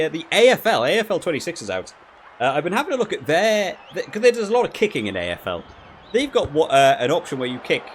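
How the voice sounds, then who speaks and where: A man's voice: mid-range voice, fairly bright tone, slight background noise. A man giving casual video game commentary and review, thinking aloud in a rambling way and often rephrasing himself. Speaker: gaming channel host reviewing a rugby video game.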